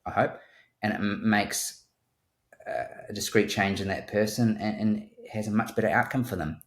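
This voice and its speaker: A man's voice: lowish voice, moderate pace. A man with a New Zealand accent, a guest surgeon speaking in a thoughtful conversational interview and often repeating words as he starts a sentence. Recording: roomy echo.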